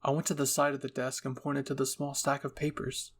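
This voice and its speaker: A man's voice: mid-range voice, monotone, brisk, breathy edge. A man reading fan fiction aloud as a narrator, in a scripted, dramatic storytelling style.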